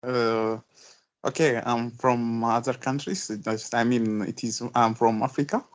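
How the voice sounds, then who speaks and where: A man's voice: medium pitch, steady tone, a little slow. Man asking a polite question. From Ethiopia. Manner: hesitant, polite. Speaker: webinar participant. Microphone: video call.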